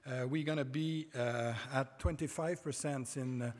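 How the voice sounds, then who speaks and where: A middle-aged man with a French Canadian accent, a native French speaker giving a conference lecture from a podium, halting with many hesitations and restarts. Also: mid-range voice, steady tone, darkish tone. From Quebec, Canada. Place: large conference hall stage. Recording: reverberant conference hall.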